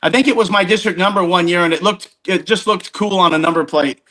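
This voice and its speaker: A man's voice: high voice, fast, pushed and bright, low-quality recording. A man in his fifties chatting casually, rambling and often restarting his sentences as he tells stories. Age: 50s. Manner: rambling, self-interrupting storyteller. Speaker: podcast guest. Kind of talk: casual chat.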